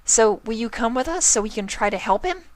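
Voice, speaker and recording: A man's voice: very high-pitched, brisk, pitched above the performer's own voice. A man doing a bubbly pink party pony. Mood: eager. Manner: bouncy and excitable.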